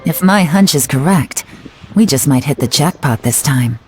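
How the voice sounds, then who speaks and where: Low-voiced, commanding woman spymaster. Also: expressive, moderate pace, darkish tone, soft background music. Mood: cool, alert. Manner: composed, authoritative, dryly confident. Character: cool, commanding woman spymaster, shrewd and self-assured. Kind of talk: video game voice acting.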